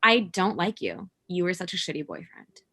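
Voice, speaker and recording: A woman's voice: fairly low pitch, sing-song, moderate pace, a bit bright, heavily acted. An actress playing a blunt, scornful woman of about thirty with a dry, cutting manner in a comedy table read. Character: blunt, sardonic thirty-year-old woman, scornful ex-girlfriend.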